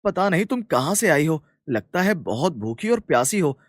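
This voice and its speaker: A man voicing a kind, earnest young village farmer in a cartoon story, with a colloquial, animated manner. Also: a little high, moderate pace, no echo, studio quality. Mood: concerned. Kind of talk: Hindi cartoon story dialogue.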